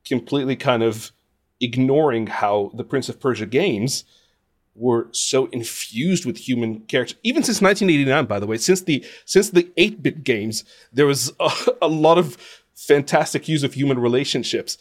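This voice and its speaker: A man's voice: medium pitch, normal speed. A man giving thoughtful, opinionated answers as an interview guest, speaking conversationally and with emphasis. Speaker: interview guest, video game composer and radio presenter.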